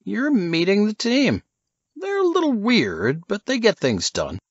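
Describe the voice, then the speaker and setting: A man's voice: high voice, wildly swooping pitch, unhurried, husky, dry recording, lo-fi sound, pitched above the performer's own voice, full character voice. A man voicing a nonchalant, laconic senior agent with a laid-back, dismissive way of talking, in an audiobook thriller. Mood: dryly amused.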